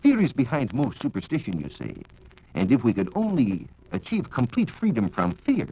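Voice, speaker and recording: A man with an American accent giving a formal, measured, scripted 1940s radio science talk on a dull vintage recording. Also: medium pitch, wildly swooping pitch, mellow, slightly rough. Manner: formal, measured lecturing with rhetorical questions. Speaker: science reporter and radio speaker. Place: radio studio.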